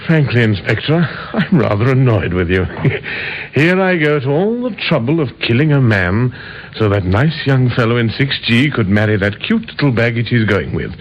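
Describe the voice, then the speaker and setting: A man's voice: mid-range voice, very animated, moderate pace. An actor playing a cheerfully deranged, smugly proud killer in an old radio drama, chatting breezily as he confesses. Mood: breezy annoyance. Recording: muffled, hissy old radio recording.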